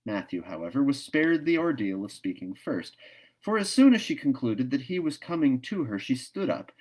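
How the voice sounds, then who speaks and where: A man's voice: mid-range voice, a little mellow. A man narrating a classic novel as a storyteller reading aloud.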